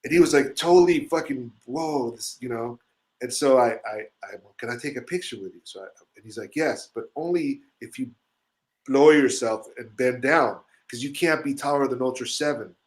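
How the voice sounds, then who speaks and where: Man from Chile, middle-aged, casual chat, swearing a lot, rambling, medium-pitched voice. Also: normal speed. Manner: rambling, swearing, animated storyteller. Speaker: musician telling stories on a show.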